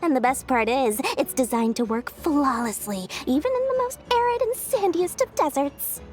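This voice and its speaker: Very high, perky merchant girl. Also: animated, normal speed. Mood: upbeat pitching. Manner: showy, theatrical salesmanship. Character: perky, high-voiced female merchant, cheerful theatrical seller. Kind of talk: animated video game acting, sales pitch. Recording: faint music behind.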